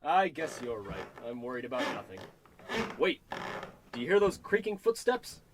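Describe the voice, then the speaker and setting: A man's voice: mid-range voice, big pitch swings, normal speed, background noise, natural voice. An actor playing a nervous, whiny salesman in a parody old-time radio comedy, anxious and easily exasperated. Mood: worried, uneasy. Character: nervous, jumpy male travelling salesman, whiny sidekick.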